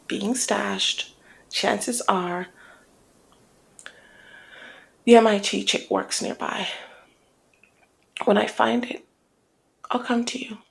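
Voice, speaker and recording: A woman playing a flirty, cunning female mob boss in an intimate ASMR roleplay, speaking in a teasing, deliberate murmur that often slips toward a whisper. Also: on the high side. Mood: persuasive, earnest. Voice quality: breathy creaky murmur, often dropping to whisper. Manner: teasing, deliberate, drawn-out phrasing.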